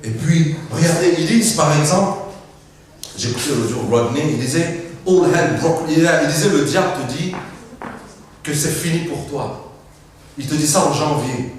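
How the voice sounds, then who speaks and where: A French-speaking man preaching a Pentecostal sermon, with emphatic call-and-response, frequent hallelujahs, and quiet joking asides. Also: moderate pace, long pauses, a little hiss or hum. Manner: lively pitch swings, drops to quiet asides and jokes. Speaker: pastor. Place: large church hall. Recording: very echoey hall, mic at a distance.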